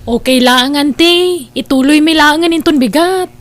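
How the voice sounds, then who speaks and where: Woman acting high-voiced, nagging Ilocano elder sister. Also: a little slow. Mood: defensive. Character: nagging, disapproving elder sister, a contented village wife. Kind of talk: Ilocano radio drama dialogue.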